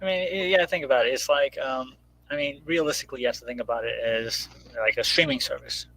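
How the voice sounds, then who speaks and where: A man's voice: mid-range voice, fast talker, pushed and bright, poor audio. Rambling man in casual conversation. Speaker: guest, comic book creator promoting crowdfunding. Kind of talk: casual chat.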